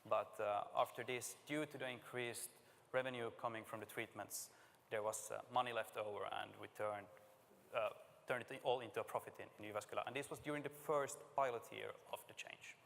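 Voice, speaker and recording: A man from Finland giving a conference talk and answering questions, speaking plainly and informally with filler pauses, in a large conference hall. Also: medium pitch, fairly even intonation, creaky, fairly bright tone, slight background noise. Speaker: conference presenter.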